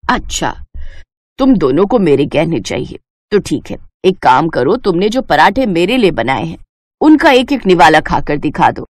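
A woman acts out an elderly mother-in-law from an animated moral tale. She is shrewd and stern, with a lowered voice and a dry, close sound. Every phrase is measured and carries authority. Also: no room sound. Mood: stern, questioning. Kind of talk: animated moral story dialogue.

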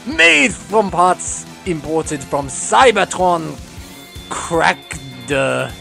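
A man's voice: a little high, very animated, extremely slow, vocal fry, pauses often, bright, forceful tone, soft background music, full character voice. A man voicing a bombastic, gloating villain with theatrical taunts in an amateur comic dub.

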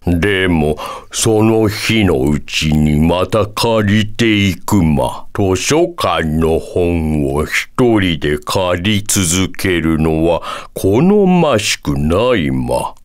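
A man voicing a solemn, long-lived devil in a Japanese video game, pompous and drawn-out, with a quirky verbal tic at sentence ends. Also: deep voice, lively intonation, exaggerated voice. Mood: gently chiding.

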